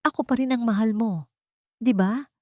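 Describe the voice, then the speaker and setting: A woman's voice: fairly high pitch, moderate pace, no echo, clean studio sound, voice pushed up, close to an everyday voice. A woman voicing a venomous, boastful male ex-lover who taunts and extorts in an audio drama. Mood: menacing, mocking. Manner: taunting, sneering.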